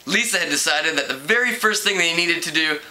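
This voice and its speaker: A man's voice: on the high side, expressive, brisk, sharp tone, poor audio. A man narrating a story as an amateur reader, reading aloud from a book.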